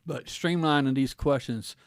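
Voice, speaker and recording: A man's voice: medium pitch, measured pace, no echo. Middle-aged American male host, mid-range voice, colloquial and folksy questioning, very dry close sound. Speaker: guest host, former law enforcement officer. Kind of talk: casual interview chat.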